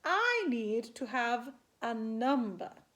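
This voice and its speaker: A woman's voice: on the high side, big pitch swings, slow pace, fairly bright tone, heavily acted. A woman voicing a commanding, exacting fairy-tale godmother spider, with a bold, insistent manner. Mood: stern.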